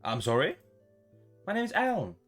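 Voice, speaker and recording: A man's voice: sits high, wildly swooping pitch, normal speed, fairly bright tone, voice pushed up, heavily acted. Man doing a flirty, teasing male loner. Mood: smug, flirty. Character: smug, flirtatious, bashful male loner of the woods. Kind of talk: visual novel dialogue read-aloud. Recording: music behind the voice.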